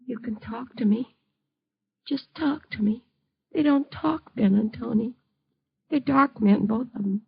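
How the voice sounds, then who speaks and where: An actress playing a frightened, lonely bedridden mother in 1950s radio drama, breathy and fragile, pleading bitterly with agitated repetition. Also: a little high, unhurried, long pauses, heavily acted. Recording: dull lo-fi old radio recording.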